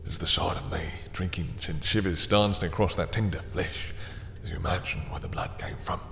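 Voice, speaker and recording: A suave, menacing vampire lord acted by a man speaks in a very low voice with long reverb around it. He is courtly and possessive, drawing out his seductive phrases and slipping into breathy murmurs, as in a dark audio drama. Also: fairly even intonation, fairly quick. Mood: menacing, teasing. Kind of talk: scripted roleplay audio drama. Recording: long reverb, faint background noise.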